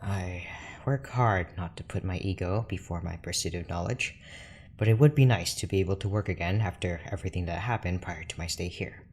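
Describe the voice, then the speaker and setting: A man playing a reserved, formal research doctor in an audio drama, speaking in a measured, dry manner. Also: sits low. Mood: guarded, reflective. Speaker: scientist character in an audio drama. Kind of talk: scripted audio drama monologue and dialogue. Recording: clear background noise with ambience.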